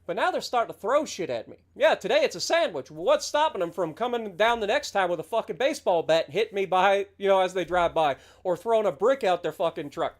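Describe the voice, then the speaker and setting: A man's voice: high-pitched, expressive, fast talker, hardly any pauses. Profane, ranting man in his thirties. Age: 30s. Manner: profane, heated and sarcastic. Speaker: game streamer. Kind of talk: casual livestream rant.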